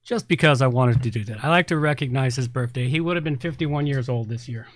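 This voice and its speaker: A man's voice: medium pitch, fast, noisy background. A middle-aged man from Canada presenting a podcast as its host in an unscripted style.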